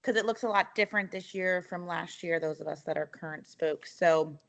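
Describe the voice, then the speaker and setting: A woman's voice: fairly low pitch, fairly quick, gravelly, dull audio. A woman taking part in a work conference call, asking questions in an informal way. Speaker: meeting participant. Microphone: online conference call.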